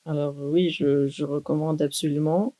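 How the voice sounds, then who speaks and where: A young woman, a doctoral student speaking in a thoughtful, measured way with many pauses in a university podcast interview. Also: low voice, steady tone, dark tone, rough recording. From Greece. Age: 20s. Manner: measured, thoughtful, with frequent hesitations.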